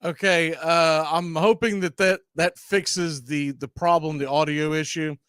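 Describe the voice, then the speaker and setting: A man hosting a casual live sports stream, speaking bluntly and opinionatedly in a halting, self-correcting way. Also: a little high, fairly even intonation, fairly bright tone, clean tone, no room sound, studio quality.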